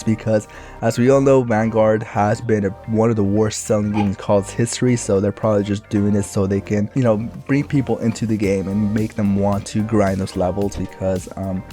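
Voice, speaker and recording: A man with a raspy, recovering voice, giving casual gameplay commentary for his own video in a rambling, laid-back way with lots of fillers. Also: on the low side, warm tone. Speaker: gaming YouTuber.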